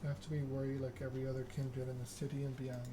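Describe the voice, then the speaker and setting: A man's voice: mid-range voice, completely flat delivery, quick pace, noisy background. A man doing an ASMR read-aloud of a narrative game, occasionally stumbling over the written text. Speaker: gaming channel host.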